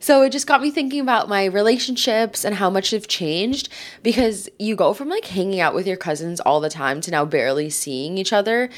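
A woman in her late twenties chats on her own as a podcast host, rambling easily from one thought to the next. She sounds a little stuffed up from a cold and keeps dropping "like" into her sentences. Her voice sits at an ordinary middle pitch. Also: expressive, brisk. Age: 20s. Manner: chatty, rambling, says "like" a lot. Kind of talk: casual solo podcast chat.

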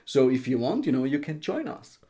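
Hesitant Austrian-raised man, mellow voice. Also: mid-range voice, sing-song, brisk. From western Austria. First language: German. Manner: hesitant, searching for words, many fillers. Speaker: guest. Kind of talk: casual interview chat.

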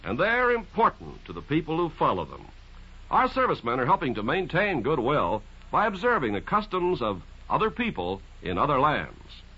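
A man's voice: on the high side, wildly swooping pitch, normal speed, sharp tone, husky, lo-fi sound. An American man reading scripted announcements as a radio announcer in a mid-century broadcast style. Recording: band-limited old radio broadcast with music.

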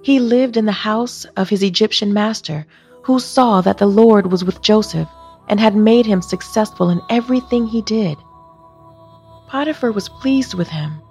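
A woman with a husky voice narrates scripture in a scripted storytelling style. Her pitch sits in the middle of the female range, and soft music plays behind her. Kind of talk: scripted Bible reading, expressive storytelling.

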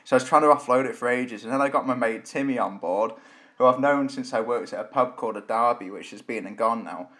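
A man's voice: mid-range voice, fast talker, fairly bright tone. A man co-hosting a podcast, chatting in an enthusiastic, rambling way. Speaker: podcast co-host. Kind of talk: casual podcast chat.

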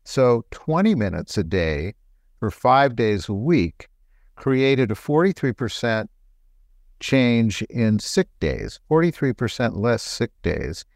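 A middle-aged man, a doctor co-hosting a health talk show, explaining things in a relaxed, conversational way. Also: mid-range voice, slow, dry recording, clean studio sound. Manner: explanatory, relaxed, laughs lightly.

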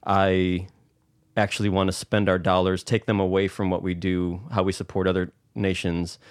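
A man's voice: low-pitched, completely flat delivery, normal speed, dry recording, clean studio sound. An American man co-hosting a podcast discussion, explaining his views conversationally as he thinks aloud. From United States. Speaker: podcast co-host.

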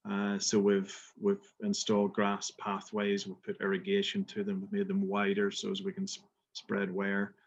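A man's voice: on the low side, monotone, moderate pace, creaky, breathy edge, dull audio. A middle-aged man from Belfast with a Belfast accent, a golf resort manager answering interview questions with many fillers and restarts. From Northern Ireland.

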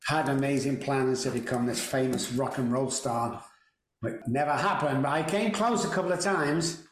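Man in his 60s, Mancunian accent, chatty and wry, roomy echo, mid-pitched voice. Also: normal speed. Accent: Mancunian English. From England. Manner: chatty, joking, self-deprecating. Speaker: podcast guest. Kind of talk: casual storytelling interview.

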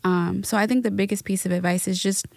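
A woman on a podcast talking reflectively about grief and offering gentle advice, with many fillers. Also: medium pitch, fairly even intonation, fast, creaky, no echo. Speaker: guest. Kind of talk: podcast conversation.

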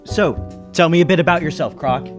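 A man's voice: fairly high pitch, a little fast, soft background music, lightly acted. A man acting an inquisitive, uneasy traveling businessman who narrates a 1930s-style radio mystery. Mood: curious. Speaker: lead actor and narrator.

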